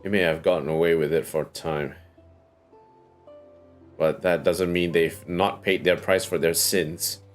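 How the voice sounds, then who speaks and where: Deep voice guy streaming a game, chill musing commentary, faint music in back. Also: flat delivery, a little slow, long pauses. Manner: low-key, musing, echoing what he hears. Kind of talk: casual livestream commentary.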